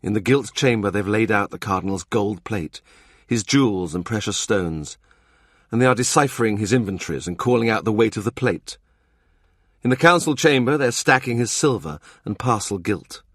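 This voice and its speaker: A man narrates a historical novel in a low, steady voice. His delivery is measured and even, and the recording is dry and close. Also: dry recording. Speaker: audiobook narrator.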